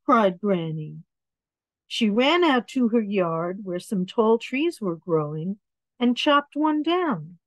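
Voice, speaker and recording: A woman reading children's picture books aloud in an expressive way, adding asides about the pictures. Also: mid-range voice, slow pace, no room sound. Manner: expressive, acts out words and dialogue.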